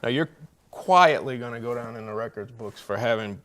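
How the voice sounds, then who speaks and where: A man's voice: medium pitch, normal speed, fairly bright tone. An American man hosting a college sports show, asking questions in a conversational interview style.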